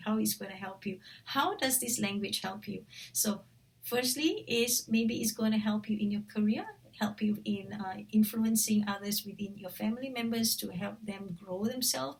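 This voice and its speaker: Singaporean woman, language coach, chatty and loose with restarts, mid pitch, mic set a bit away. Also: a little mellow, recorded from a distance, low-quality recording. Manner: chatty, with frequent fillers and restarts. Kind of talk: casual talk to camera.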